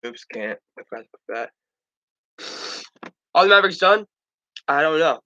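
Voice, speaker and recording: Young man, stuffed-up nose, sports stream host, rambling restarts, bright tone, muffled lo-fi sound. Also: fairly high pitch, animated, moderate pace. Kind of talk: casual solo sports talk stream.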